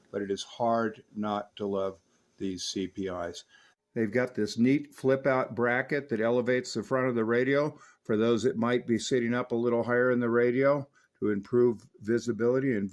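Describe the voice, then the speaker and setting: An older man reviewing a vintage CB radio in a relaxed, knowledgeable, folksy manner, also chatting on air as a radio operator. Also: medium pitch. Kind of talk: technical review narration and on-air radio chat. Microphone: stock desk microphone on the radio.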